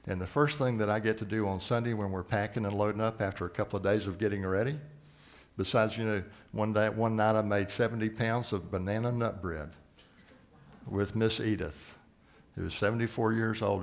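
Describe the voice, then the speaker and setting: Gravelly-voiced man preaching. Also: fairly even intonation, fairly quick. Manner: earnest storytelling, often drops to a soft low voice. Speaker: missions leader preaching. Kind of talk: sermon.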